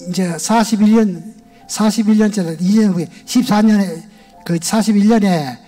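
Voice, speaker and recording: A man's voice: sits high, warm tone. An older man with a Gyeongsang accent, a retired church elder giving a folksy, homespun personal testimony to a congregation in a large church sanctuary. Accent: Gyeongsang Korean.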